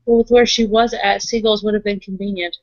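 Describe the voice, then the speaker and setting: A woman's voice: medium pitch, flat delivery, brisk, dry recording. A woman playing a tabletop roleplaying game, chatting casually and rephrasing as she thinks aloud. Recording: dull lo-fi sound.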